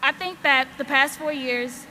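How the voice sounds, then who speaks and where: Young woman co-speaker, proud and upbeat, high bright voice. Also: unhurried, slight background noise. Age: young adult. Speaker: graduating student speaker. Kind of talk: scripted speech.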